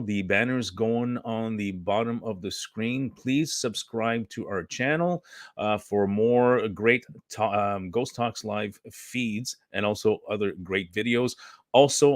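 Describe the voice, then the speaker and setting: A man hosting a live-streamed talk show in a casual chatty way, hoarse and losing his voice, rambling and clearing his throat often. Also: on the low side, dry recording, clean studio sound. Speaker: host.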